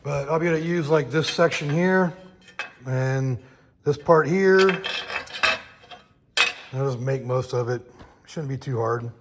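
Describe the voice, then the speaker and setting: A hoarse man who is losing his voice after being sick, talking casually through a repair job in his home garage, rambling with lots of fillers. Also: medium pitch, measured pace, long pauses, noisy background. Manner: rambling, easygoing, many fillers. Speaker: host of a home auto repair channel. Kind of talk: casual talk-through of a shop project.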